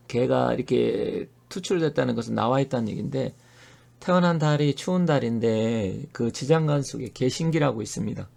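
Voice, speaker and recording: A middle-aged man hosting his own fortune-telling channel, giving an earnest, opinionated monologue full of pauses and fillers. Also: medium pitch, gravelly, a little mellow. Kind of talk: solo monologue to camera.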